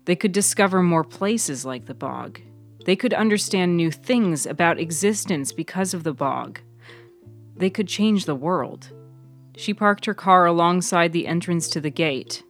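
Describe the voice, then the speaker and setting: A woman's voice: on the low side, lively intonation, unhurried. A woman narrating a scripted serial fiction story for a podcast, with piano music behind her.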